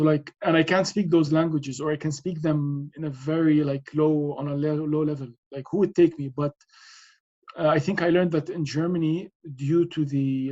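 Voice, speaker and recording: Man, from Lebanon, native Arabic speaker, engineer being interviewed, hesitant, lots of fillers, slightly high voice, dull lo-fi recording. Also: a little mellow. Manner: hesitant, many fillers and restarts. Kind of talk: casual interview answers. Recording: dull lo-fi sound.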